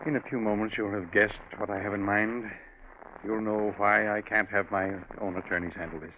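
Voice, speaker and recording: An actor playing a grave, secretive, middle-aged bank president, formal and deliberate with some hesitation, in 1940s radio drama style. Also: male voice, lowish voice, steady tone, lightly acted. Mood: firm, businesslike. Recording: noisy, narrow-band 1940s radio recording.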